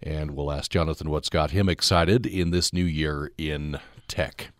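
A man hosting a radio talk show, introducing topics with a measured, scripted delivery. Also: extremely low pitch, steady tone, no room sound, studio quality.

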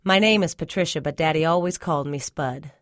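A woman's voice: deep voice, brisk, no room sound, studio quality. A woman reading a first-person literary short story aloud as scripted narration. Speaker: story reader.

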